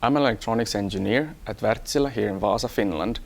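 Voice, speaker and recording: A man from Hong Kong, an engineer, talking about himself in a measured, explanatory way for an employee profile. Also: fairly low pitch, creaky. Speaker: electronics engineer. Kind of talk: first-person employee profile talk.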